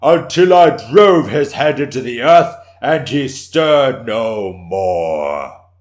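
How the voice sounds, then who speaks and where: A man's voice: mid-range voice, animated, slow, pitched above the performer's own voice. A man voicing a proud, theatrical samurai warrior, declaiming his boasts in archaic, formal speech for a story. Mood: shouting, outraged.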